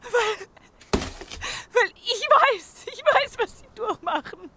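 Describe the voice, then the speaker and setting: A woman's voice: very high voice, animated, takes it slowly, background noise, full character voice. A woman playing a hard, threatening survivor in an audio drama, curt and urgent in manner. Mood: anguished anger. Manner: curt, menacing, hushed urgency. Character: hard, threatening female survivor guarding a boy.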